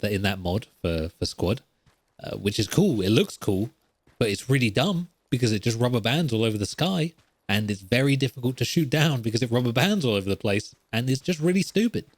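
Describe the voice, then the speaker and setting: A man delivers casual, opinionated gaming commentary as a podcast host in a mid-pitched voice. He rambles along and leans on repetition to hammer points home. The sound is dry and close, with very little room. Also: no room sound.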